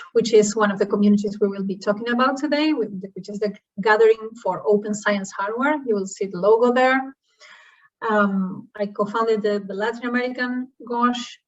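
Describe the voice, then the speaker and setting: A woman from Argentina, a social science researcher, introducing herself at a conference in a relaxed way with fillers, over a video call. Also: medium pitch. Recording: distant, dull-sounding call audio.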